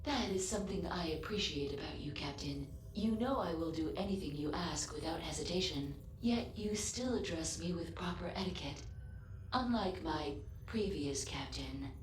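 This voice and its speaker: A loyal female android speaks in a lowish, echoing voice over faint noise. She is formal, precise and matter-of-fact, a measured, literal-minded ship's assistant from a sci-fi game. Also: expressive. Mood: courteous, devoted. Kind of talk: scripted video game voice acting.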